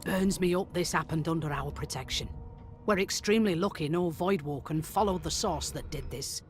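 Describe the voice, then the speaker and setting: A woman's voice: low voice, moderate pace, faint music behind, lightly acted. A woman playing a grim, matter-of-fact guard investigator with a wry edge in a video game. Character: grim, businesslike female magister guard investigating a murder.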